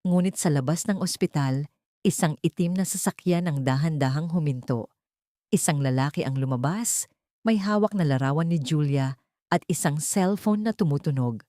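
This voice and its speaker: A woman narrating a dramatic audiobook story in a steady, even way. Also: low-pitched, moderate pace, no room sound, studio quality.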